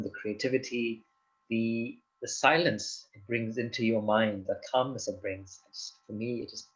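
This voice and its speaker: A man from Zimbabwe, a guest on a chat show, answering in a calm, explanatory way and often checking in with the listener. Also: medium pitch, highs cut off. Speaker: guest, doctor and yoga teacher. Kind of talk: interview answers.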